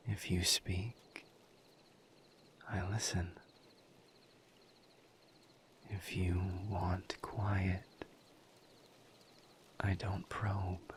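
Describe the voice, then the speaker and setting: A man voicing a gentle, patient misery demon in a breathy, intimate whisper, halting and solemn, for an audio roleplay. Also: sits low, a little hiss or hum. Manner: slow, deliberate, halting with long pauses.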